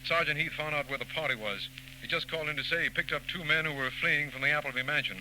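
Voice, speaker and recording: An actor playing a businesslike, authoritative law official in brisk 1940s radio drama style. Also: male voice, fairly high pitch, sharp tone, poor audio. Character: businesslike male law official directing his police men. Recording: old radio tape with faint noise.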